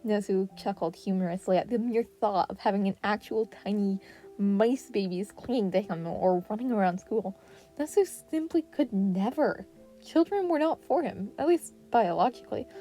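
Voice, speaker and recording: A woman reading fan fiction aloud in an expressive narrating style. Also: medium pitch, moderate pace, soft background music.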